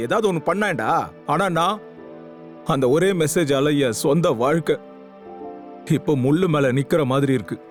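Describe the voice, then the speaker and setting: An actor playing a brash, encouraging young best friend, giving blunt, casual pep talk, in conversational short-film style. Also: male voice, a little high, natural voice.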